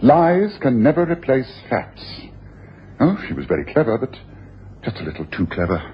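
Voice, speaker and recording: Man playing a commanding, animated radio-drama detective. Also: medium pitch, moderate pace, natural voice. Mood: reflective, satisfied. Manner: theatrical, incisive, domineering. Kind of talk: 1980s radio drama acting. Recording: dull, noisy old radio broadcast.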